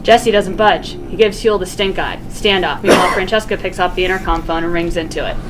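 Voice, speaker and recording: A woman's voice: on the low side, fairly even intonation, a little fast. A woman reading scripted stage directions as narrator at a table read. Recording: noisy room ambience.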